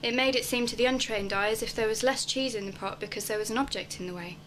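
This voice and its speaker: A woman's voice: fairly high pitch, fast talker, fairly bright tone, slight background noise, natural voice. A young woman acting in a radio play, delivering scripted personal monologues. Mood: indignant. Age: young adult. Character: wistful young woman longing for home.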